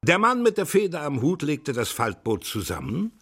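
A man's voice: on the high side, wildly swooping pitch, normal speed, a bit bright, slightly rough, heavily acted. A man narrating a scripted children's story as the narrator of a radio play. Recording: music behind the voice.

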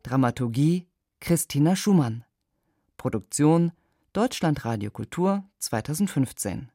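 A man's voice: fairly high pitch, lively intonation, measured pace, warm tone, soft background music. A man reading out scripted credits as the announcer of a children's radio play.

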